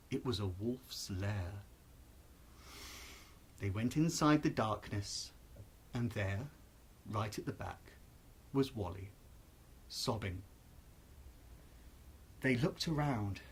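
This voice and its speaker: A storyteller narrating a children's bedtime tale in an expressive, suspenseful, deliberate way. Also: mid-range voice, husky.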